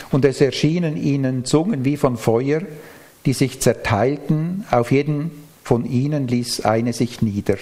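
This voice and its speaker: A man preaching a sermon, reading and explaining scripture in a measured, explanatory way. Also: medium pitch, a little mellow.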